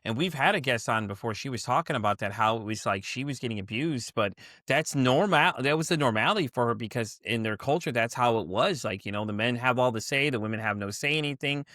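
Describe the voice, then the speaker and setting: A man's voice: medium pitch, expressive, quick pace, hardly any pauses, sharp tone, dry recording, studio quality. A man hosting a podcast interview, talking in a rambling way, full of "like" and "you know". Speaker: podcast host.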